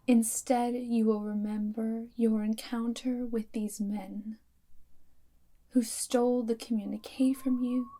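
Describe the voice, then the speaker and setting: An aloof vampire girlfriend and Resistance spy played by a woman gives hypnotic orders in a breathy, half-whispered ASMR voice. Her tone is high but mellow and held very even, with deliberate phrasing. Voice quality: soft, breathy, often whispered. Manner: slow, deliberate, hypnotic instructing. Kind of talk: ASMR roleplay.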